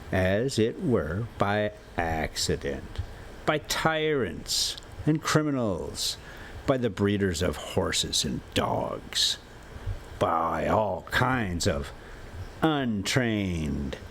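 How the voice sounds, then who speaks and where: A man reading a classic story aloud in halting, deliberate phrases, with offhand asides. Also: lowish voice. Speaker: story reader and channel host. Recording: steady background noise while on the road. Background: motorhome driving ambience.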